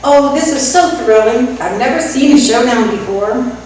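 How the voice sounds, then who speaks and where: Woman as a high-voiced, prim schoolmarm. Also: lively intonation, moderate pace, a little mellow, close to an everyday voice. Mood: thrilled. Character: eager, proper, chipper frontier schoolmarm. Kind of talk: old-time radio comedy western acting. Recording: very echoey room, distant mic, faint noise.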